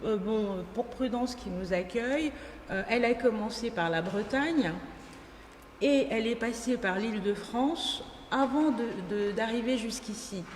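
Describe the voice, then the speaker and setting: Woman moderator, higher voice, guiding an onstage interview, echoey hall. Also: unhurried. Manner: guiding, lively, rephrases her questions. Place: large event hall. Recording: echoey hall, faint room noise.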